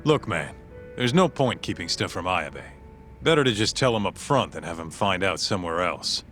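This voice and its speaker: Blunt game sidekick, slangy bruiser. Lowish, animated pitch. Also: male voice, moderate pace, faint music behind. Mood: defensive. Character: blunt, brash tough-guy sidekick in a loud shirt. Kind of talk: video game cutscene acting.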